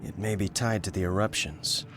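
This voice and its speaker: Low, steady heroic companion. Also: male voice, moderate pace, over music. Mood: reassuring. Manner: calm, supportive. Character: steady, reassuring male hero companion. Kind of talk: scripted video game cutscene acting.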